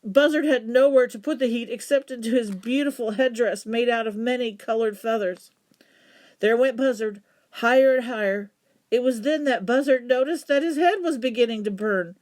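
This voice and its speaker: Expressive woman storyteller. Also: fairly high pitch. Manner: dramatic, deliberate emphasis. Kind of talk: expressive children's folktale narration.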